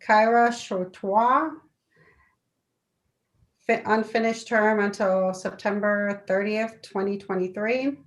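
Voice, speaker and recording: A woman council member reading a formal committee report aloud, halting now and then to check and correct details. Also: medium pitch, steady tone. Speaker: city council member presenting committee appointments. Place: local government meeting.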